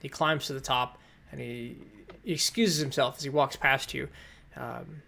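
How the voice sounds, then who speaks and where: A man narrating as game master for a tabletop game over an online voice call, pausing often with fillers as he describes the scene. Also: medium pitch, fairly even intonation, gravelly, bright, forceful tone. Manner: hesitant narration with many fillers. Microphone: computer mic on an online voice call.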